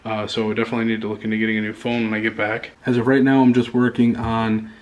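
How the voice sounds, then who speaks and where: A man talks through a casual home vlog from his condo, drifting from point to point and stopping often to hunt for the next word. His pitch stays level with little rise or fall. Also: little pitch movement, darkish tone, lo-fi sound. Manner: rambling, pausing often to find words. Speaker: vlogger. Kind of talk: casual show-and-tell vlog.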